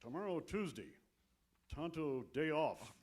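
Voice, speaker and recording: Radio western sidekick, male actor: stolid, unhurried, dry-witted, clipped article-dropping English, lightish pitch. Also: animated, a little mellow, slight background noise. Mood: deadpan. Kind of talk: comic old-time radio western acting.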